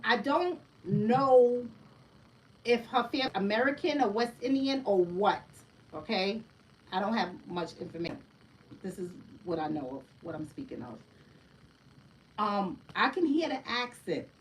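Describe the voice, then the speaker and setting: A woman's voice: on the high side, animated, a little slow, far from the mic, low-quality recording. A woman giving a casual livestream oracle card reading, chatty, often tagging her sentences with a question and addressing her listeners as family. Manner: chatty, keeps asking "right?" and calls listeners "family".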